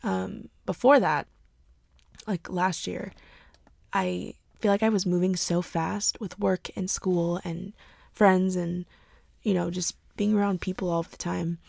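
Hoarse young woman, gravelly and soft. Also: on the low side, steady tone, slow, no echo, clean studio sound. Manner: soft, hesitant, many fillers and restarts. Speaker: podcast host. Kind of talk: casual reflective monologue.

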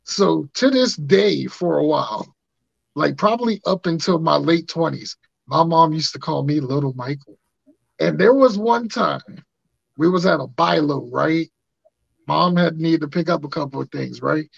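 A man in his thirties with a raspy voice, hosting a podcast and telling stories in casual chat, acting out quotes. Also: a little high, normal speed, no echo. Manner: animated, acts out quotes in funny voices. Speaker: podcast host. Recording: dry muffled lo-fi sound.

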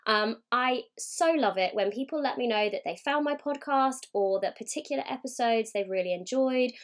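A woman podcast host speaks in a loose solo monologue, with a voice that is croaky and hoarse from a sore throat. She sits a little above the usual pitch and stays upbeat and chatty, dropping in fillers as she goes. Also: normal speed. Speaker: podcast host and mindset coach.

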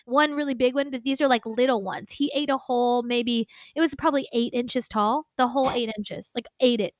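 Young woman w/ a light indiana accent, high voice, rambly chatty, dry close sound. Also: fairly even intonation, a little fast, no room sound. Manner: chatty, rambling, lots of fillers and laughs. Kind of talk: casual chat.

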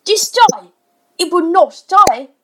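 A woman's voice: extremely high pitch, lively intonation, takes it slowly, voice pushed up, full character voice. A woman voicing a blustering, self-pitying father in a children's story, overdramatic and stern. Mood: yelling. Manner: yelling, sarcastic, stern, overdramatic.

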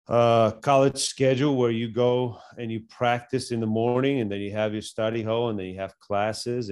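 A man's voice: on the low side, darkish tone. A middle-aged man with a Bulgarian accent, a strength coach telling his story in a casual interview, full of fillers and restarts. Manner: halting, many fillers and restarts. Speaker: strength and conditioning coach, guest.

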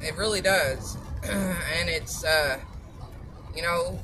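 Loud, strong-voiced woman rambling over car noise. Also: lowish voice. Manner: rambling, halting with long pauses and filler words; blunt, outspoken. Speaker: vlogger selling supplements. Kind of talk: casual chatty monologue.

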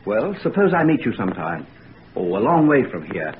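A smooth-talking young student. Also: male voice, lowish voice, sing-song, moderate pace. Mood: coaxing. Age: young adult. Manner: coaxing, persuasive. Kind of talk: 1940s radio acting. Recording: tinny old radio broadcast, faint hiss.

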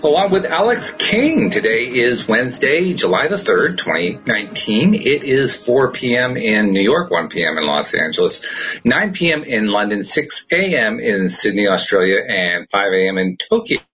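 A man's voice: lowish voice, big pitch swings, normal speed, a bit bright, soft background music, low-quality recording. A man hosting a podcast in casual chat, chatty and laughing often. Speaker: podcast host.

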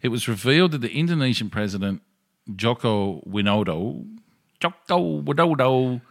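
A man co-hosting a casual podcast, joking and reading news items aloud haltingly. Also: mid-range voice, sing-song, vocal fry. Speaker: podcast co-host.

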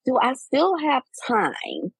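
A woman in her forties, talking candidly in a casual chat, reflective and animated as she tells personal stories. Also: high-pitched, slow, creaky, husky, no room sound. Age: 40s. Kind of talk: casual heartfelt conversation.

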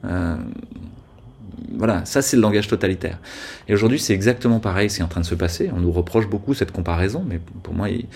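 A man's voice: deep voice, fairly quick, gravelly, darkish tone, breathy edge. A man speaking as an interview guest, arguing earnestly with frequent hesitations. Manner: earnest, argumentative, many hesitations. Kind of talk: conversational interview.

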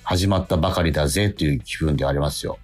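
A middle-aged man hosting a radio show in casual, animated, chatty talk with a co-host. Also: extremely low pitch, quick pace. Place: radio studio. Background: music.

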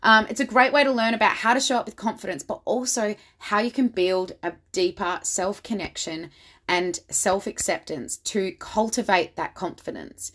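Female podcast host, slightly raspy from overuse, enthusiastic and motivational, blunt. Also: mid-range voice, normal speed, a bit bright. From Australia. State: slightly raspy voice from much talking. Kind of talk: solo podcast monologue.

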